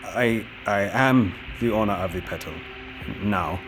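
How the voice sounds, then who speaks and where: An actor playing an ambitious young-blooded captain, hesitant yet determined, in an audio drama. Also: male voice, on the low side, unhurried, background noise, close to an everyday voice. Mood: nervous. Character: nervous but ambitious male warlord's nephew, a captain.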